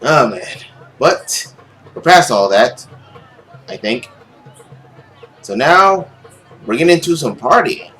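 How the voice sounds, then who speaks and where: A young adult man narrating a visual novel aloud as a let's play host, in an expressive, dramatic way. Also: mid-range voice, slow pace, pauses often, soft background music, some chatter behind. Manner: animated, dramatic reading. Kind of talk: expressive story narration with casual commentary.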